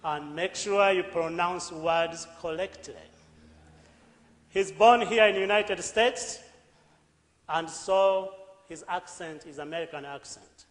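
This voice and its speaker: Ugandan man speaking in an echoing hall. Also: high-pitched. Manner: earnest, deliberate, with rising emphatic bursts. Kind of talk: public talk. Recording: echoey hall with long reverb.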